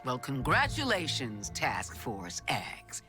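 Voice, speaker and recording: A man's voice: mid-range voice, huge pitch swings, slow, sharp tone, soft background music, heavily acted. An actor playing a stern male commander of a criminal task force, speaking curtly and scolding, in a video game cutscene. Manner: curt, scolding.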